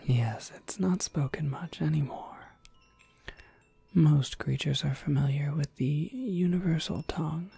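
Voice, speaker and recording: A woman playing a courteous, businesslike witch shopkeeper in a soft, measured ASMR whisper. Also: sits low, vocal fry, husky, no room sound, exaggerated voice. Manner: measured, polite, gently explanatory.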